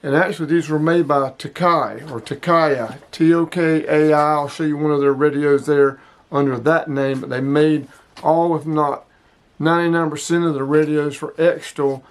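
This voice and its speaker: A man's voice: a little high, fairly even intonation, normal speed. A man in his sixties hosting a casual hobby product showcase, speaking emphatically and repeating himself to drive points home. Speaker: host of a hobby radio video.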